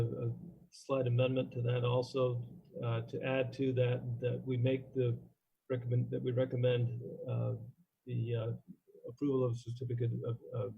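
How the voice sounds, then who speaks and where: A man chairing a formal meeting as its chairman, speaking in a halting, procedural way. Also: mid-range voice, gravelly, dark tone, breathy edge, dull audio. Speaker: commission chairman. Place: remote meeting call.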